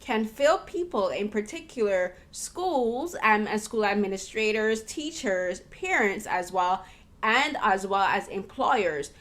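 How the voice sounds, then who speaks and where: A woman's voice: mid-range voice, lively intonation, takes it slowly, a bit bright. A young woman from Barbados, hosting a film interview in an earnest, thoughtful way, often restarting her sentences. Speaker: podcast host and interviewer. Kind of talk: casual conversational film interview.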